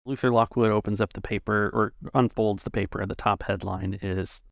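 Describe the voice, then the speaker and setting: A man working as game master, narrating a tabletop story in a halting, measured way. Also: fairly low pitch, fairly even intonation, vocal fry, no room sound, studio quality.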